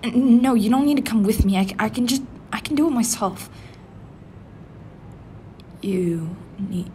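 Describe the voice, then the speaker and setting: A man's voice: expressive, a little slow, mellow. A man playing a prickly, flustered office worker who hides a crush, stammering and grumbling defensively in a tsundere roleplay addressed to the listener. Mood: defensive, snappy. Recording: faint rumbling office and city background noise.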